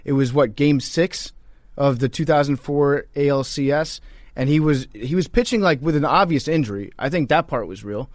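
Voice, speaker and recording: A man's voice: fairly high pitch, normal speed, no echo, clean studio sound. A man co-hosting a sports podcast in casual, profane banter, telling stories informally. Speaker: podcast co-host.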